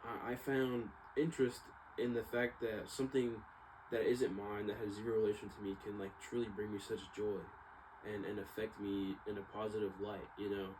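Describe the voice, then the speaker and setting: A man's voice: fairly low pitch, little pitch movement, moderate pace. A young man giving a casual, rambling personal monologue in informal slang, often circling back and trailing off. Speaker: vlogger. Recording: clearly noisy background ambience.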